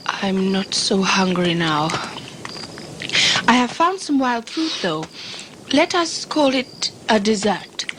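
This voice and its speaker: A woman's voice: medium pitch, very animated, slow pace, long pauses, background noise. A woman playing a squeamish traveller in a sci-fi audio drama, precise and formal, often questioning. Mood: queasy, curious.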